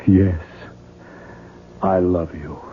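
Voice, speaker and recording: An actor playing a troubled, evasive American writer husband in a radio drama, hesitant and subdued. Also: male voice, sits low, steady tone, drawn-out words. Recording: muffled, noisy 1970s radio broadcast.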